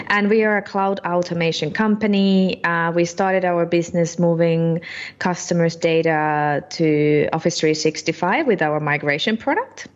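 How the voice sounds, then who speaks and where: A woman in her thirties from Finland, answering interview questions in a casual, halting way with many fillers. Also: lowish voice, highs cut off. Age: 30s. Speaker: guest, partner sales director.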